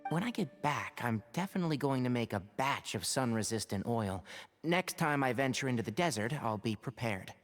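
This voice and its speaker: A man's voice: mid-range voice, lively intonation, moderate pace, lightly acted. A man playing a level-headed, frank young researcher in an anime-style game, explaining things in an articulate, matter-of-fact way. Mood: candid. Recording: music playing behind the voice.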